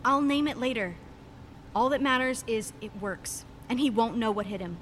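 An actress playing a brisk, dry, determined engineer in a sci-fi audio drama. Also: female voice, a little high, close to an everyday voice. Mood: brisk, confident. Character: no-nonsense, determined woman engineer on science team. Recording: faint background noise.

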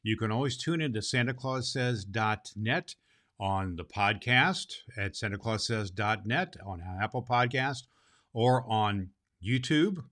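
A man's voice: medium pitch, a bit bright. A man playing a jolly, grandfatherly old Santa Claus, speaking theatrically and emphatically to children as a storyteller. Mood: cheerful, friendly. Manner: theatrical, emphatic, addresses listeners as boys and girls. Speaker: storyteller and podcast host. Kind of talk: dramatic storybook reading and direct address to children.

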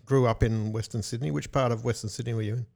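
A man's voice: medium pitch, steady tone, quick pace, gravelly, darkish tone. A man hosting a radio interview, friendly and a bit hesitant, with frequent restarts. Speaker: radio host.

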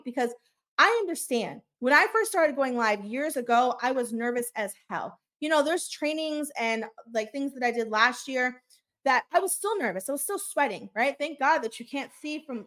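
High-voiced woman giving an upbeat coaching talk. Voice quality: high-pitched voice. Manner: energetic, direct, asks rhetorical questions, checks in with "okay?"; slight stutter. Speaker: podcast host and business coach. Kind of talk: motivational solo podcast talk.

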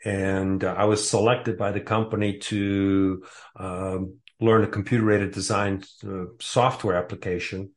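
A man's voice: sits low, little pitch movement, measured pace. A man in his sixties from the Detroit area, a guest author answering interview questions casually and hesitantly, with many fillers. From Metro Detroit area, USA.

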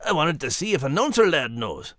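An actor voicing a male Scottish terrier dog, gruff but tender and teasing, with a Scottish accent and folksy dialect. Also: mid-range voice, very animated, a little fast, no echo, studio quality. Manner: folksy, warm dialect talk. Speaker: podcast co-host character. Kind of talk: scripted character banter.

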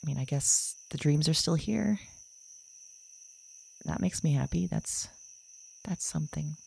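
A woman playing a haunted dreamer in an audio drama, haltingly recounting visions of past lives. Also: low voice, creaky, warm tone, slight background noise, heavily acted. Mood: relieved, unsettled. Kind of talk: confessional audio drama monologue, halting recollection.

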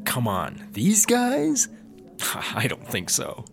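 A blithe, gullible squad partner who thinks highly of himself, in a comic sci-fi audio play. His medium-pitched voice swoops extremely widely. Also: huge pitch swings, takes it slowly, gravelly, over music, full character voice. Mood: blithe, dismissive. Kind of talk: sci-fi comedy audio drama acting.

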